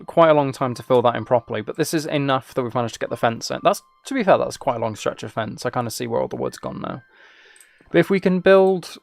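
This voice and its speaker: A man's voice: medium pitch, creaky. A twenty-year-old man chatting casually as he streams a game, rambling along in a joking, teasing way. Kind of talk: casual chat while playing a game.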